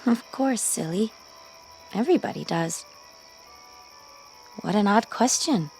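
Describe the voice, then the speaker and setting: An actress playing a gentle, candid young woman in a radio drama, speaking softly. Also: mid-range voice, animated, slow pace, soft background music, poor audio. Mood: fond, teasing.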